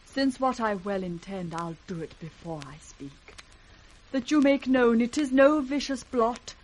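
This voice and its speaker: An actress playing a sincere, dignified, wronged princess in a 1960s classical radio drama, measured and formal. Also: female voice, on the high side, darkish tone, slight background noise, natural voice, poor audio. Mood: pleading.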